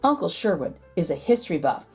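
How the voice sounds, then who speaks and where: A woman's voice: on the low side, very animated, a little fast, a little mellow, breathy edge, soft background music. A woman host reading a children's storybook aloud expressively, drawing out key words for emphasis. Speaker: children's picture book read-aloud host.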